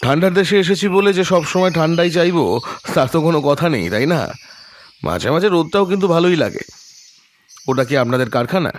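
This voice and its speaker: A man playing a calm, shrewd detective in an audio drama, measured and musing as he quietly probes. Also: on the high side, slight background noise, natural voice. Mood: casual probing. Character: calm, shrewd male detective, probing and thoughtful.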